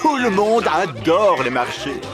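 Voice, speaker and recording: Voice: medium pitch, very animated, measured pace, loud music behind the voice. An actor as the narrator of a preschool cartoon, giving simple scripted lines in a storybook delivery. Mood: cheerful narration.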